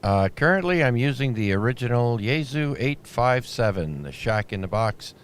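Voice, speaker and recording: A man acting as net controller on an amateur radio net, talking in a relaxed, halting way with many fillers. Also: medium pitch. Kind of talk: ham radio net chatter. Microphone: radio transceiver mic. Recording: noisy shortwave radio audio.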